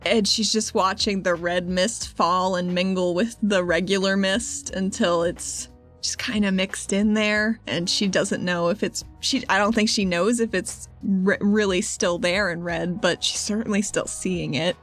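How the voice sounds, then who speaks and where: A woman's voice: medium pitch, fairly even intonation, unhurried, a bit bright, faint music behind. A woman playing on a tabletop roleplaying podcast, chatting casually and playfully and improvising what her character does. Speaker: player on a tabletop game podcast. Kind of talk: casual, playful game chat and improvised narration.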